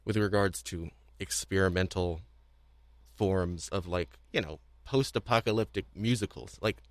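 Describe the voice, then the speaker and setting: A man's voice: low voice, a little slow, no echo. A man co-hosting a casual podcast discussion, talking in a rambling, self-repeating way with a readiness to chuckle. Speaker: podcast co-host.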